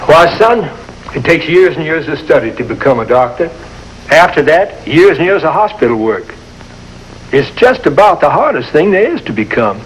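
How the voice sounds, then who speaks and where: An actor playing a gruff but kindly small-town American doctor, brusque and folksy like an uncle, in a 1950s television drama. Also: male voice, on the high side, sing-song, moderate pace. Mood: skeptical, discouraging. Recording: noisy old 1950s television soundtrack.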